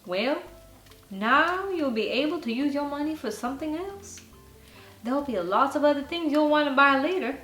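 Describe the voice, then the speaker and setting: A woman voicing a sensible, gently teasing father in a bedtime story, with a measured, reasoning manner. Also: high voice, animated. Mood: consoling. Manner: measured, reasoning, mildly doubtful. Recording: roomy sound with faint music behind.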